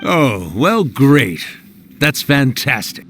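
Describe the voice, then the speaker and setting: A man's voice: mid-range voice, big pitch swings, slow pace, a bit bright, soft background music, heavily acted. A man playing a mischievous, self-satisfied yokai spirit in an anime-style game, with a playful, flippant drawl. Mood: wistful, fond.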